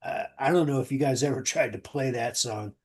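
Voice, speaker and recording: A man with a soft-edged, mellow voice at a middle pitch, co-hosting a casual show. He speaks in a folksy, earnest manner, addressing listeners in plain colloquial language. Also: a little fast, breathy edge. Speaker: podcast co-host. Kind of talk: casual radio show talk with listener appeals.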